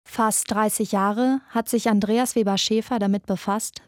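Dry, medium-pitched woman narrator. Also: a little mellow, no room sound. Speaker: narrator of a radio documentary podcast. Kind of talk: scripted narration.

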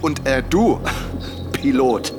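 A man's voice: mid-range voice, animated, takes it slowly, over music. A man acting in a radio play as a smug, controlling husband who orders people around with mock politeness. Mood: smugly threatening.

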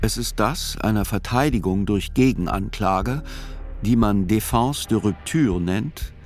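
A man giving scripted first-person literary narration in a radio play, measured and reflective. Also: lowish voice, a little mellow.

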